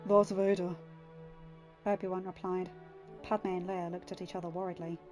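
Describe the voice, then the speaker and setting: A woman's voice: lowish voice, little pitch movement, fairly quick, mellow, soft background music. A woman reading scripted fan fiction narration as an audiobook reader.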